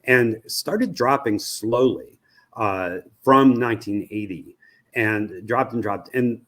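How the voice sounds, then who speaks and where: An older American man answering interview questions as an expert, explaining analytically in halting, pieced-together phrases and repeating himself. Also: fairly low pitch, a bit bright. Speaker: guest, media research executive.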